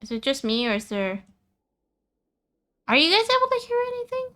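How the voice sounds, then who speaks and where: A woman's voice: high voice, wildly swooping pitch, moderate pace, bright, forceful tone. A woman live streamer chatting casually about a game, often repeating phrases for emphasis. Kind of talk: casual gaming stream chat.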